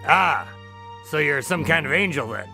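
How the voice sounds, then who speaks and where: Man acting a bright, gravelly, wry adventurer. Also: on the high side, animated, moderate pace, faint music behind, full character voice. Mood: wry, casual. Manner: casual, wry, questioning. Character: Adam, irreverent, questioning, informal male time-traveling adventurer. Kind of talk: radio drama acting.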